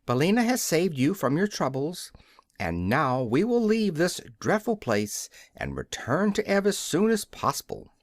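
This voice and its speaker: A man's voice: a little high, very animated, a little slow, dry recording, studio quality, pitched above the performer's own voice. A man voicing a brave, polite and confident young girl in a storybook reading. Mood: formal, confident.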